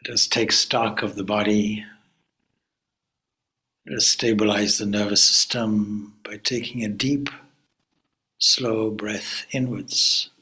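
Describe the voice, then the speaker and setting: A man guides a meditation in a fairly low voice with a bright edge. He speaks very slowly and deliberately, as a calm spiritual teacher. Short phrases are set apart by long pauses. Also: poor audio.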